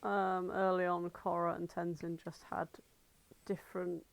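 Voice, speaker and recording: A woman's voice: on the low side, flat delivery, unhurried, vocal fry, no echo. A woman co-hosting a podcast in casual, unscripted chat, informal and rambling, with some swearing. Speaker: podcast co-host.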